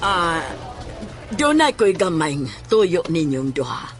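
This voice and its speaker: Slow, menacing woman interrogator played by an actress. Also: lowish voice, big pitch swings, soft background music. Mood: probing. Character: stern, probing woman questioning schoolboys about an incident. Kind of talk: Filipino audio drama acting.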